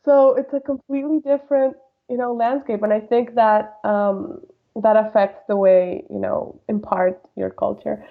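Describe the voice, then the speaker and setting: A woman in her late twenties from Colombia with a Colombian accent, chatting informally as a podcast guest and telling stories in an animated way. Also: mid-range voice, moderate pace, gravelly, phone-quality sound. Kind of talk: casual interview chat.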